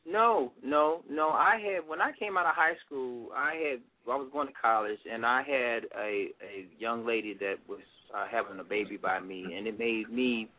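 A middle-aged man from Washington, D.C., a guest musician telling his story in a relaxed, rambling way. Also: medium pitch. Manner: relaxed, rambling, many fillers. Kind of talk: casual interview. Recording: phone-like line.

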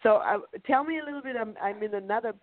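A woman whose first language is German, hosting a radio interview and speaking slowly and deliberately. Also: fairly high pitch, dry recording. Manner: slow, deliberate speech. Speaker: radio show host. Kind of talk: conversational interview. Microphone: phone call. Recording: tinny phone line.

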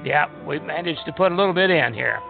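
A man's voice: a little high, expressive, quick pace, vocal fry. A man hosting a casual live radio chat in a hesitant, folksy manner. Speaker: radio show host. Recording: tinny phone-like line, music behind.

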